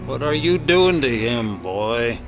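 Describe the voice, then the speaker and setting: An actor playing a hostile small-town sheriff in an audio drama, barking curt, threatening orders. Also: male voice, mid-range voice, lively intonation, slow, over music. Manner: curt, menacing, commanding.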